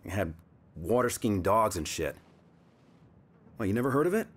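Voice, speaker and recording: A man's voice: lowish voice, big pitch swings, moderate pace. A man playing an earnest, streetwise police detective in a video game, speaking casually. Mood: casual, musing. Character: earnest, quick-thinking male police detective. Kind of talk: naturalistic video game acting.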